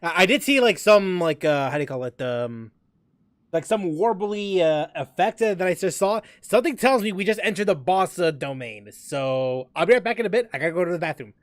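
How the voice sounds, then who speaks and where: A man giving casual, rambling live commentary as a game streamer, halting as he searches for words. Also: high-pitched, animated, no echo, studio quality.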